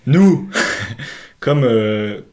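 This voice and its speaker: A man's voice: medium pitch, lively intonation, extremely slow, dark tone. Young guy on a podcast, chatty n slangy, telling a story to his mate, laughs along. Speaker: podcast co-host. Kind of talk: casual anecdote storytelling between friends.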